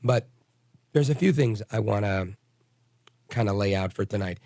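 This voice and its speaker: A raspy, hoarse man hosts a talk radio show, lowish voice close and dry on the studio mic. He is middle-aged, blunt and combative, rambling with restarts as he opines. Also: moderate pace, warm tone, dry recording. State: hoarse from bronchitis. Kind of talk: opinionated talk radio monologue.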